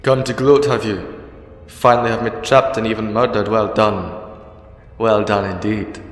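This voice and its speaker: An actor playing a sardonic, defiant old time-traveller in a fan audio drama, dry and exasperated. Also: male voice, on the low side, steady tone, normal speed, close to an everyday voice. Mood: dry defiance. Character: sardonic, defiant, ancient male time-traveller hero, captive. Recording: echoey long reverb, music behind.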